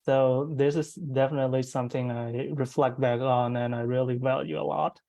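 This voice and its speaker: A young man from Cambodia, a guest on an interview call, talking in an earnest, halting way with many fillers and restarts. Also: medium pitch, fairly even intonation, vocal fry, mellow, no echo. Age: young adult. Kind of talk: casual interview conversation.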